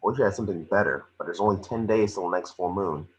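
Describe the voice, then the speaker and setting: A man's voice: low-pitched, fast, natural voice. A man playing a blunt, commanding FBI chief in a screenplay table read. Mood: concerned, firm. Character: gruff, hard-nosed male FBI chief, blunt and commanding. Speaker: amateur actor in an online table read. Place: remote video call. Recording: muffled video call audio.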